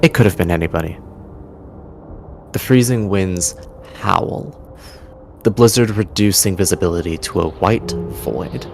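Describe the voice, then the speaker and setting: A man delivering dramatic scripted narration as a game master, solemn and theatrical, with deliberate pauses. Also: low voice, creaky, noisy background. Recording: music bed behind the voice. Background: music.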